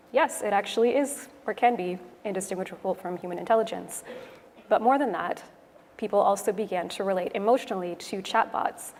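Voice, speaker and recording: A woman from Toronto with a Canadian English accent gives a prepared conference talk in a clear, measured, explanatory way. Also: medium pitch, darkish tone. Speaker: conference speaker, web developer. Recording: echoey hall, noisy room ambience.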